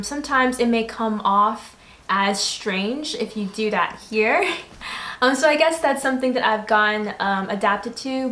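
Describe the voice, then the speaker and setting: A woman's voice: medium pitch, a bit bright. A young woman, chatting casually and a little hesitantly as an interview guest. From born in DR Congo, grew up in the United States. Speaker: interview guest, English teacher. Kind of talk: casual conversational interview answers. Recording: echoey room sound.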